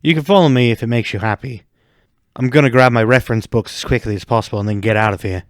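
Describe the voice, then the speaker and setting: A man's voice: medium pitch, fast, dry recording, clean studio sound, natural voice. A man acting a studious, wary teenage schoolboy in a fan fiction audio drama, with a sceptical, grudging manner. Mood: exasperated.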